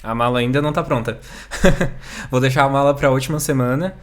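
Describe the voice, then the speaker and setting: A man's voice: medium pitch, brisk. A young man with a Southern Brazilian accent, a university student guest on a podcast, who gives brief agreeing replies and matter-of-fact explanations. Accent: Southern Brazilian Portuguese. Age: young adult. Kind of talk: conversational interview.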